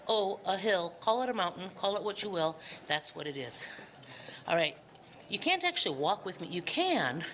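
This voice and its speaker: A woman's voice: medium pitch, sharp tone, slight background noise. A middle-aged woman, chatting casually and emphatically to a camera held at arm's length, often repeating words for effect. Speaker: vlogger. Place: mostly outdoors in hilly town streets, partly indoors. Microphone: phone or camera at arm's length.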